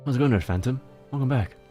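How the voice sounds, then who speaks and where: A man livestreaming and chatting casually, explaining things in halting bursts with frequent restarts and laughter, speaking with a mixed accent. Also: mid-range voice, warm tone. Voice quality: self-described mixed accent. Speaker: livestreamer answering chat.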